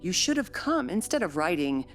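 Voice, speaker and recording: A woman's voice: fairly low pitch, sing-song, normal speed, soft background music. A woman voicing a peevish, sickly teenage boy who whines and complains fretfully in an audiobook story. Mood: fretful, weary. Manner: whining, complaining.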